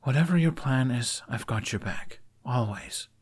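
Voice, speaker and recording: A man's voice: medium pitch, animated, normal speed, husky, voice pushed up. A tough, loyal young woman warrior from a fantasy audiobook, voiced by a male narrator. She speaks bluntly, like a comrade in arms. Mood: grave, loyal.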